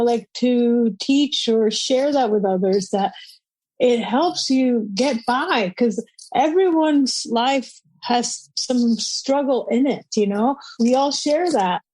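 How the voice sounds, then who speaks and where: A woman's voice: sits high, a little mellow, dry recording, low-quality recording. A woman in her forties from Puerto Rico, a podcast guest, speaking in an upbeat, encouraging way. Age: 40s. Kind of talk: casual conversation.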